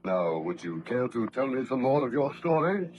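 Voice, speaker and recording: An actor playing a courteous, composed ship's captain, speaking politely and formally in old radio drama style. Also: male voice, mid-range voice, big pitch swings, normal speed, highs cut off, slight background noise, natural voice. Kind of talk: 1930s radio drama acting.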